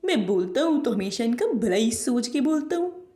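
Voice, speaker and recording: A woman's voice: on the high side, big pitch swings, normal speed, warm tone, echoey room, pitched below the performer's own voice. A woman voicing a brash, conceited male parrot for a children's story, with cheeky, folksy exclamations and buddy-like talk. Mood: indignant.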